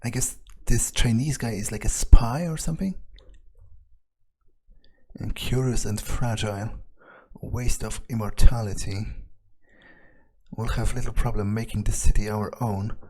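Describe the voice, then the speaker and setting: A man with a slightly husky voice, narrating a game playthrough in character as a wary vampire, muttering his thoughts hesitantly. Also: fairly low pitch, measured pace, pauses often, a little mellow. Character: vampire agent, profane and wary, thinking aloud. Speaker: let's player speaking in character.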